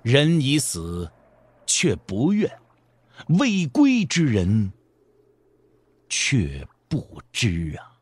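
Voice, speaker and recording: A man's voice: medium pitch, huge pitch swings, very slow, long pauses, darkish tone, breathy edge, dry recording. A man narrating a dramatic audio drama as a scripted storyteller. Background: background music.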